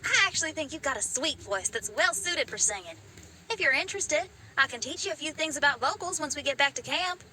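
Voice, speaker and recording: A kind young woman from an anime game. She speaks in a high voice with a gentle, encouraging manner. Background ambience is clearly audible. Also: expressive, fairly quick, slight background noise, full character voice. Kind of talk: anime video game voice acting.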